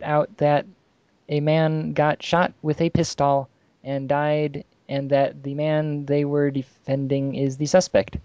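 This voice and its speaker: A man casually reading amateur fan fiction aloud on a podcast, halting and amused, with asides. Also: a little high, warm tone, clear, resonant tone, no room sound, dull audio.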